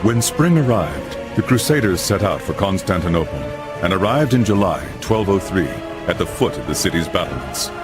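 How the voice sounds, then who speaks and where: A man's voice: sits low, sing-song, moderate pace, over music. An actor playing a grave older crusader father who narrates medieval history formally in a video game. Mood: solemn. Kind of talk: scripted dramatic narration.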